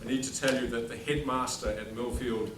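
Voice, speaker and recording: A man's voice: mid-range voice, steady tone, brisk. A middle-aged man with a New Zealand accent, a headmaster giving a humorous, self-deprecating after-dinner speech without a microphone at a formal dinner. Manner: self-deprecating, dry jokes, speaks without a microphone to a room. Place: large dining hall at a formal dinner. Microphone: no microphone, recorded from across the room. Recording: distant echoey hall with background noise.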